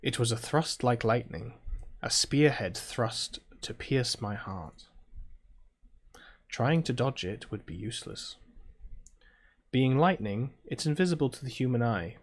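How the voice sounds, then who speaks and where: A British man hosting a game playthrough, chatting casually and reading story text aloud in a low-key, halting way. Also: lively intonation. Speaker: gaming video host playing a visual novel.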